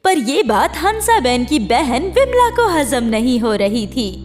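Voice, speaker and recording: A woman's voice: high-pitched, big pitch swings, slow, darkish tone, faint music behind. A woman narrating a scripted moral story for a children's cartoon.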